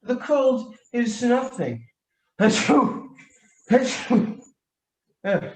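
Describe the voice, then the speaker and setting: A vain, tipsy wine connoisseur with a head cold acted by a young man talks in a slow, halting, pompous way. It is theatrical radio play acting, recorded in an echoey room. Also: extremely high pitch, expressive, a little mellow, heavily acted. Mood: pompous, insistent. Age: young adult. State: sneezing and coughing with a feigned cold. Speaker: student actor.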